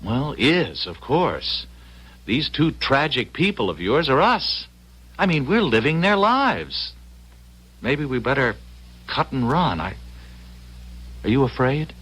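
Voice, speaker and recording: A man's voice: mid-range voice, sing-song, slow pace, long pauses, a bit bright, breathy edge, heavily acted, poor audio. An actor playing an eager, easily flustered young husband in an old-time radio drama, sometimes hesitant in his delivery. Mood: matter-of-fact.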